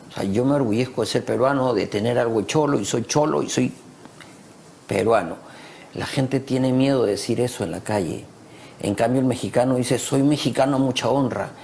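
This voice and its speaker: A man with a Peruvian Spanish accent argues with pride and insistence, repeating phrases for stress. His pitch sits in the middle range. A faint noise runs under his voice. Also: creaky, a little mellow. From Peru. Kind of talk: passionate talk show discussion.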